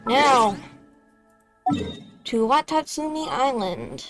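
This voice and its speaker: Slow-talking woman game streamer. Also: a little high. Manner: relaxed, unhurried asides to viewers. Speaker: gaming streamer. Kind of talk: casual gameplay commentary. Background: game music.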